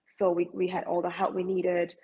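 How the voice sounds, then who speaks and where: Low, gravelly woman from Malaysia. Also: monotone, moderate pace, mellow. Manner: hesitant, many fillers and restarts. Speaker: guest, masters swimmer. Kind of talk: interview conversation.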